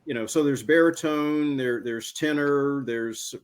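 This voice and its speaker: A man's voice: mid-range voice, measured pace, clean tone. A man co-hosting a casual talk show, asking curious questions with many restarts and hesitations. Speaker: co-host. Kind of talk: casual chat.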